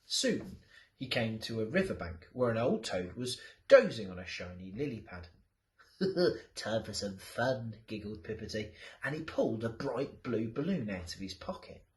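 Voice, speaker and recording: A male teacher reading a children's story aloud in a dramatic, theatrical way with drawn-out words. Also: on the low side, sing-song. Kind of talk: animated storybook narration. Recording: roomy echo, distant from the mic.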